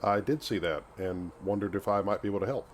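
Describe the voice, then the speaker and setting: A man voicing a courteous, earnest space adventurer, measured and articulate, over a video game visual novel. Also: lowish voice, a little hiss or hum, lightly acted. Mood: earnest, helpful.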